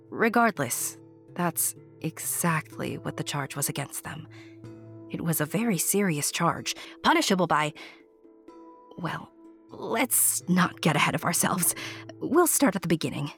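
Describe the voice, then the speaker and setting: Woman, low pitch, scripted fairy-tale narration, playful, often hushed, faint music behind. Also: creaky. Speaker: storyteller, narrator. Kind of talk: scripted storybook narration addressed to the listener.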